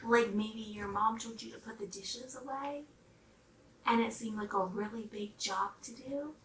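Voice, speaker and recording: A woman reading a storybook aloud to young children in an animated, expressive way. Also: fairly high pitch, measured pace. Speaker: storybook reader. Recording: roomy, slightly distant microphone.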